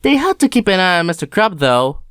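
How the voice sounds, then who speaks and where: A woman reading a children's book aloud with lively, expressive storytelling. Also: lowish voice, brisk, clean tone, no room sound, clean studio sound. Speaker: storybook reader.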